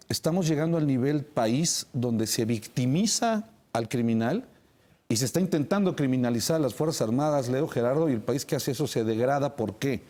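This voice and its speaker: A man's voice: mid-range voice, warm tone. A man with a Mexican accent giving emphatic opinion commentary as an analyst in a television interview, repeating words as he builds his argument. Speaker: military affairs analyst, interview guest.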